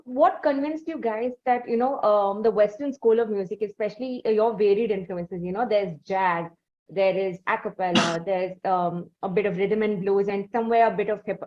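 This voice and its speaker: A woman's voice: mid-range voice, lo-fi sound. A woman from South India hosting an interview, asking long questions full of fillers and restarts. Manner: hesitant, many fillers. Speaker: interviewer host.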